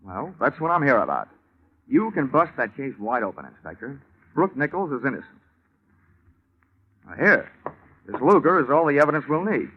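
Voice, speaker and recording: Wisecracking American gumshoe, muffled old radio. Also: male voice, medium pitch, sing-song, moderate pace, long pauses. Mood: brisk, urgent. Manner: wry, slangy, terse. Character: wisecracking, hard-boiled male insurance investigator. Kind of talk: old-time radio detective drama acting and narration. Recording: muffled vintage radio recording.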